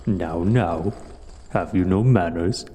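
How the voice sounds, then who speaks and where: Huge menacing dragon: dark, cavernous reverb, pompous and formal, for audio roleplay. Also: male voice, slight background noise. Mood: menacing, commanding. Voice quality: deep, dark voice. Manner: slow, deliberate, formal, pompous. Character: huge male dragon, imperious, menacing, learned, coolly curious. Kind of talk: scripted audio roleplay voice acting.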